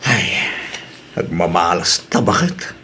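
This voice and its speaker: A man's voice: deep voice, takes it slowly, sharp tone, breathy edge, full character voice. A man with a northern Philippine accent playing an older, evasive, defeatist gambling father in an audio drama. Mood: glum, uneasy.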